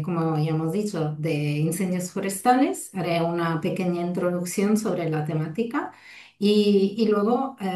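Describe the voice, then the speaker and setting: A woman's voice: fairly low pitch, dark tone. Italian-accented woman lecturing over a roomy call. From Italy. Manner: hesitant, many fillers and restarts. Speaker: lecturer, fire safety researcher. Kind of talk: webinar lecture. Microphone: video call. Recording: roomy echo, distant from mic.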